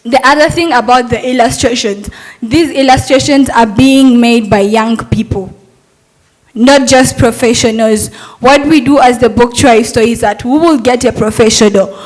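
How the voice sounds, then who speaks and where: Woman, young adult, Montessori teacher, summit talk, earnest, persuasive, rhetorical questions, fairly high voice. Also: moderate pace, darkish tone, low-quality recording.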